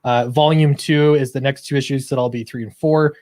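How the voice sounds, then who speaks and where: A man's voice: medium pitch, fairly even intonation, a little fast, darkish tone, dry recording, clean studio sound. A man chatting casually as an interview guest, upbeat but a little hesitant. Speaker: guest comic book creator.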